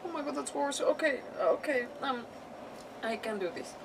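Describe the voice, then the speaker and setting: A woman game streamer with a fairly high voice, a little away from the mic. She reacts casually and emotionally, often halting to search for words, over faint background noise. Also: lively intonation, vocal fry, distant mic, low-quality recording. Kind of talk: casual live gameplay reaction commentary.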